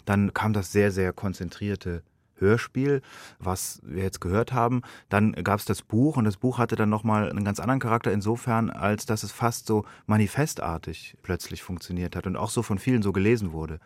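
A man's voice: lowish voice, moderate pace, no room sound. A man speaking as an interview guest, explaining his work thoughtfully and often interrupting himself to rephrase. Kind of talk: reflective spoken interview. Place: radio studio.

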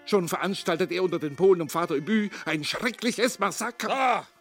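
An actor playing a solemnly patriotic front-line radio reporter, announcing dramatically in a comic radio play. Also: male voice, high-pitched, expressive, brisk, fairly bright tone, faint music behind. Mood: excited, solemn.

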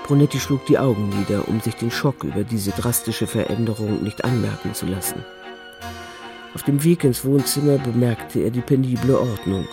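A man narrating a German radio drama in a measured, literary style. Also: mid-range voice. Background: music.

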